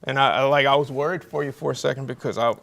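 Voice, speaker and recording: A man's voice: mid-range voice, steady tone, quick pace, vocal fry, fairly bright tone. A man hosting a sports show, interviewing guests in a casual, informal and chummy way.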